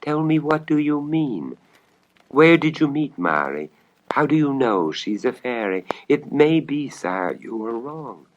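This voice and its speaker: A man's voice: mid-range voice, very animated, unhurried, muffled recording, a little hiss or hum, voice pushed up. Man doing a solicitous old wizard, raised voice. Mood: probing. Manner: solicitous, probing, reassuring. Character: loyal, cunning old court wizard. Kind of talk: storybook audio dramatization.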